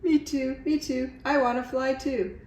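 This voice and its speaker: A woman voicing an eager, excitable female iguana in a storybook, exclaiming and repeating herself. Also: high voice, unhurried, echoey room, a little hiss or hum, pitched above the performer's own voice. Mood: excited.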